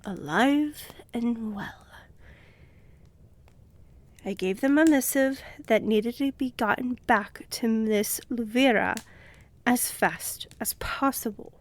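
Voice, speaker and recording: A woman playing a haughty female vampire noble in an audio roleplay, menacing and seductive, imperious and teasing, with breathy whispered drops. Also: a little high, takes it slowly, slight background noise. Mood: teasing, composed. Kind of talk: dramatic audio roleplay acting. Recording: echoey hall-like reverb.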